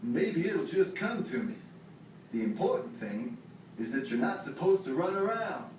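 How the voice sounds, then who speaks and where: A man's voice: on the high side, sing-song, normal speed. A man voicing an upbeat, friendly puppet who teaches fire safety to children in a folksy, emphatic way. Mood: earnest, friendly. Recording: echoey room, distant playback with loud music.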